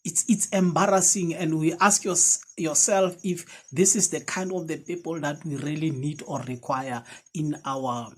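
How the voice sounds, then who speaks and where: A man's voice: fairly high pitch, fairly even intonation, measured pace, few pauses, slightly rough. A man from South Africa, a Sesotho speaker with a South African accent, giving a casual political commentary to camera with many fillers and restarts.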